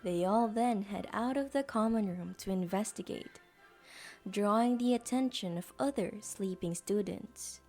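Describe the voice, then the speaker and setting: A woman's voice: medium pitch, a little slow, dark tone, faint music behind. A woman narrating a scripted story for an audio drama.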